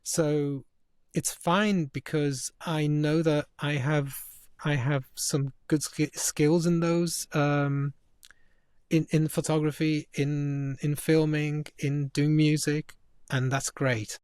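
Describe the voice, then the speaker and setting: Halting Italian-born man, slight northern English tinge. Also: a little high, flat delivery, darkish tone, smooth, no room sound. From Italy. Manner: halting, many restarts and fillers. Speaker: photographer guest. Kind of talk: casual interview conversation.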